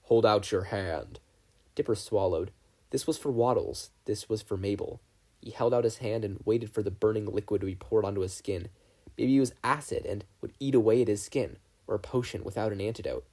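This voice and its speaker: A man narrates a story from a script in a fairly low voice. His tone is relaxed and mellow, with a husky texture. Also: fairly quick. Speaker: fan fiction audiobook reader.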